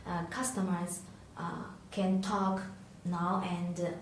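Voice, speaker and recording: Female product demonstrator, non-native English, lowish voice, halting tutorial delivery, heavily reverberant room recording. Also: level tone. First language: not English. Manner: halting, hesitant delivery with searching pauses. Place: echoey indoor room, tabletop demonstration. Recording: echoey room, distant mic, faint noise.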